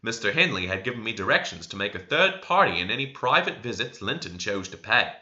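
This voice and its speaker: A man's voice: on the low side, big pitch swings, a little fast, pushed and bright. A man reading literary narration expressively, as an audiobook reader. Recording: slightly dull lo-fi recording.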